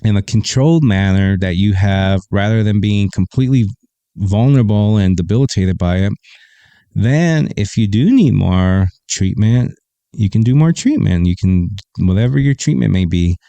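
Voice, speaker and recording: A man hosting a podcast, talking in a casual, laid-back and opinionated way with a deep, bassy voice, sometimes reading from a web page into a close desk mic. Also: expressive, normal speed, vocal fry, mellow, no room sound. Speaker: podcast host. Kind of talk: casual livestream talk.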